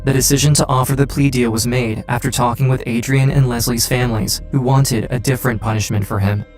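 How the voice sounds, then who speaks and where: A man's voice: mid-range voice, fairly even intonation, a little fast, a little mellow. A man reading scripted true-crime documentary narration. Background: faint music.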